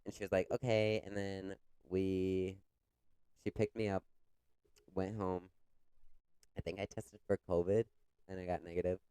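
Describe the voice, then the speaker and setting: A man's voice: sits low, little pitch movement, measured pace, long pauses, warm tone, clear, resonant tone, dry recording, rough recording. A young man co-hosting a podcast in casual chat, congested with a cough, talking loosely with lots of filler words. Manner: chatty, many "like" fillers, retells stories with quoted voices. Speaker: podcast co-host.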